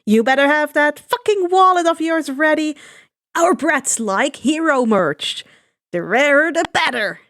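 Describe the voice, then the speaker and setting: A woman voicing a brash, foul-mouthed, domineering woman who argues loudly in a story reading. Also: high voice, animated, measured pace, voice pushed up. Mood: smug, bossy. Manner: shouting, swearing, domineering.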